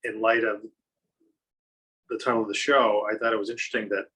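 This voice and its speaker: Bright, husky-voiced man rambling. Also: on the low side, little pitch movement, fairly quick. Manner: rambling, loses his place, chuckles. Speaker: podcast panelist. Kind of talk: casual chat.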